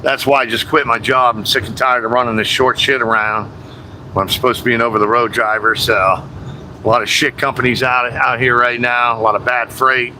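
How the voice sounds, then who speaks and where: A man streaming live from a truck cab on the highway, chatting along casually with fillers and the odd swear word. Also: little pitch movement, a little fast, sharp tone. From United States. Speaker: truck driver streaming from the road. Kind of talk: casual livestream chat while driving. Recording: constant road and engine noise.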